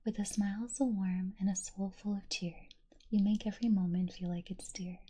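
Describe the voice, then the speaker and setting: A young woman streamer whispering softly and breathily as she reads chat quotes, giggling often between them. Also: mid-range voice, fairly even intonation, normal speed, slightly rough.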